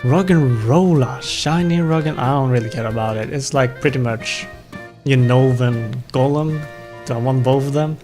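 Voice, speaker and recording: A man's voice: medium pitch, fairly even intonation, normal speed. A young man with a non-native English accent, chatting casually as he streams a game, rambling and self-deprecating. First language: not English. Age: young adult. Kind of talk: casual chat while gaming. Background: music.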